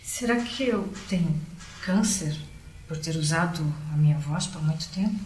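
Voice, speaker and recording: Actress as anxious teacher, confiding and worried; roomy, echoing sound. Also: female voice, low voice, normal speed, a little mellow, slight background noise, lightly acted. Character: anxious female teacher troubled about her voice. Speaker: teacher as a patient. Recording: roomy echo.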